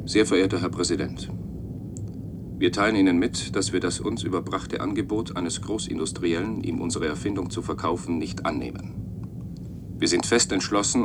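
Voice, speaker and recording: Man as a formal reading machine, deep voice, official letter style, clear rumbling noise, radio drama. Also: normal speed, close to an everyday voice. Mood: formal, declarative.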